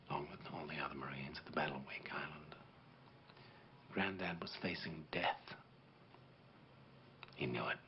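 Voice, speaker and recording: A grave middle-aged war veteran officer, played by a man, speaks slowly and solemnly, like a storyteller addressing a child. His voice sits a little low. The recording is dull and lo-fi, with a faint hiss behind it. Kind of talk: dramatic monologue performance.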